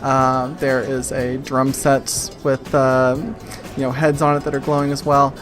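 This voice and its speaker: A woman game master narrating an improvised tabletop game in a casual, halting way, pausing mid-sentence. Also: extremely low pitch, little pitch movement, over music.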